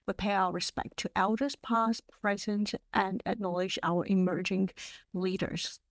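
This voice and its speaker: Cantonese-native woman presenter, mid-pitched, dry close sound, measured delivery with ums. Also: level tone, no room sound. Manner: measured, with frequent um fillers. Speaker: webinar presenter. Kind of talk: presenting a library webinar. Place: online webinar.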